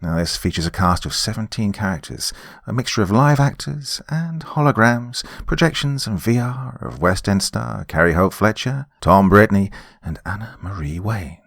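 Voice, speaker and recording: A British man hosting and narrating a horror podcast, reading scripted material with dramatic, deliberate, emphatic phrasing. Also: lowish voice, expressive, vocal fry, a bit bright, dry recording, studio quality.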